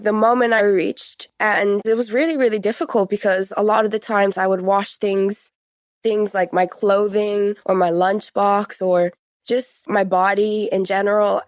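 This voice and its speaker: A woman's voice: mid-range voice, dry recording. A woman in her late teens giving a conversational personal account as a podcast guest.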